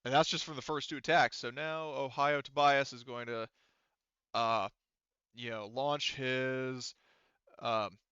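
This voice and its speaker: A man's voice: mid-range voice, fairly even intonation, slow pace, sharp tone, clean tone, dry recording. A man running a tabletop roleplaying game as game master, talking through dice rules in a casual, profane way over slightly dull audio.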